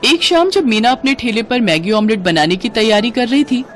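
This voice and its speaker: A woman's voice: on the high side, animated, normal speed, faint music behind. A woman storyteller delivering scripted narration for a moral tale.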